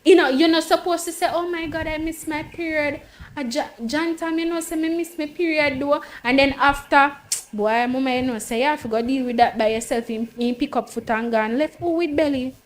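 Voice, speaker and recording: A woman's voice: high voice, steady tone, brisk. A woman, talking emphatically in a casual group debate and repeating her points. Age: late 20s. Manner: emphatic, storytelling, repeats herself. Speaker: panel guest.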